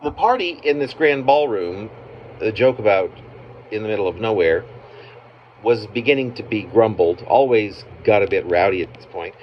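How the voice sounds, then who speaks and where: A man reading his own narrative poem aloud as its author, in halting phrases with pauses mid-sentence. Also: fairly low pitch, animated. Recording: muffled, noisy amateur home recording.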